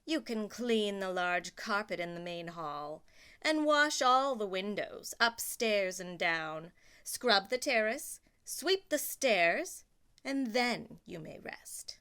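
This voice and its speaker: Woman reader doing a cruel storybook stepmother: slow, sneering, bright-edged. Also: medium pitch, lively intonation, clear, resonant tone. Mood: mocking. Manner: mocking, drawn-out commands. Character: haughty cruel stepmother, middle-aged woman.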